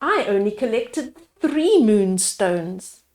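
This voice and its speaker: A woman's voice: medium pitch, very animated, slow, darkish tone, roomy sound. A woman voicing jealous, quarrelsome villagers in a storybook, complaining indignantly. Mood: envious complaint. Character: jealous, quarrelsome villagers squabbling over treasure.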